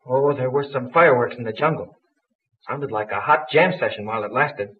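A man's voice: mid-range voice, brisk, lightly acted. Man as a brash young guerrilla, muffled old radio. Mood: wry. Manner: slangy, wisecracking. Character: young Chinese-American guerrilla, brash, wisecracking, impatient. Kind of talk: 1940s radio serial acting. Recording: distant, muffled, noisy old radio recording.

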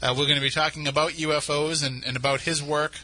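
A man's voice: a little high, level tone, quick pace, pushed and bright, poor audio. A man with a New England accent hosting a late-night radio talk show, chatting loosely with many restarts. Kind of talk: casual on-air banter. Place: radio studio. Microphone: studio microphone with headphones.

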